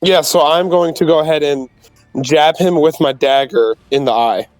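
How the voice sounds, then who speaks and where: A man's voice: a little high, moderate pace, dry recording, faint music behind, poor audio. Young man player with a dry, close voice. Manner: laid-back, chatty, asks about rules. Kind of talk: casual chat.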